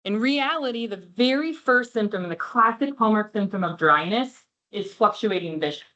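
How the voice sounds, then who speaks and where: A woman's voice: medium pitch, expressive, moderate pace. A woman eye doctor giving a passionate health presentation to an audience, with a big voice, speaking away from the microphone. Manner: warm, passionate, clear explaining. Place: room with a live audience, talking away from the mic. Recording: dull lo-fi room recording.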